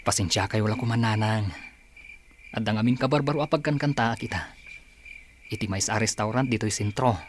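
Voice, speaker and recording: A man's voice: lowish voice, a little fast, long pauses, slight background noise, natural voice. A man playing a dutiful, hardworking son in an Ilocano audio drama. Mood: respectful, reassuring. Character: dutiful, respectful hardworking adult son and masseur.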